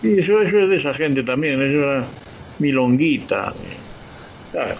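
A man's voice: medium pitch, expressive, normal speed, creaky. Wisecracking man on radio. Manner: jokey, wisecracking. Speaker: radio co-host. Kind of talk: casual radio chat. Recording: dull radio audio with faint noise.

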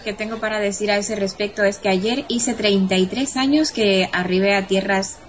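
Dominican-born woman, 50s, mid-pitch, soft conversational tone. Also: steady tone, normal speed. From Dominican Republic. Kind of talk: casual group conversation.

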